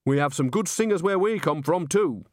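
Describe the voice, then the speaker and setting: A cheeky old witch woman from a storybook, acted out by a man, lifted high and very lively. She is earthy and rebellious, always ready to grumble or needle. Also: normal speed, no room sound, clean studio sound, close to an everyday voice.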